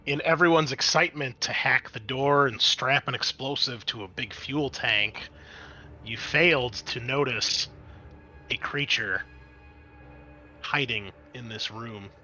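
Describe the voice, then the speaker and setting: Male game master, bright forward voice, dramatic tabletop narration that drops into casual rules explanations. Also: mid-range voice, a little slow, faint music behind. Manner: dramatic scene-setting mixed with casual rules talk.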